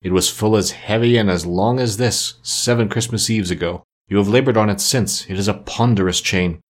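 A man's voice: lowish voice, normal speed, close to an everyday voice. A man voicing a tormented, solemn ghost of a dead businessman in a storybook reading, with mournful, grave, declamatory delivery. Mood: ominous.

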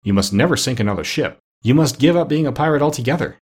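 A kindly storybook doctor lays down the law gently but firmly, as a man reads him. The voice is husky and lively in its intonation. It stays close to the reader's own tone. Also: medium pitch, fast talker. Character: kindly, firm middle-aged male doctor.

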